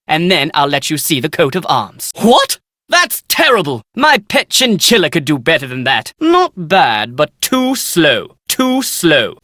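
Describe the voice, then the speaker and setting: A haughty, eccentric woman taskmaster from a video game. Her voice is fairly low and swings widely in pitch, recorded very dry. She sounds imperious and taunting, theatrically bossing the listener around. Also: fairly bright tone, dry recording, full character voice. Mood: scornful. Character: haughty, bossy, eccentric typing taskmaster with pet chinchilla.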